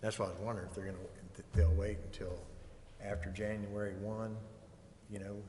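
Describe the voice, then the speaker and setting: Lowish male voice, informal meeting discussion, long reverb, faint background noise. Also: level tone, fairly quick, dark tone. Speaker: council meeting participant. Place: council chamber.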